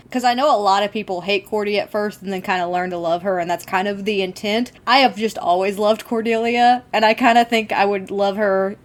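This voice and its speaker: A woman with a mid-range voice co-hosts a fan podcast. She talks casually and slangily, with a giggly streak to her commentary. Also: mid-range voice. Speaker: podcast co-host.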